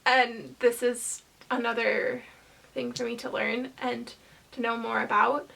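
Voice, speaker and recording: Woman, high-pitched, vocal fry, casual vlog chat, halting and rambling. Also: steady tone, a bit bright, slight background noise, poor audio. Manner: hesitant, filler-heavy rambling with frequent pauses. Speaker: vlogger.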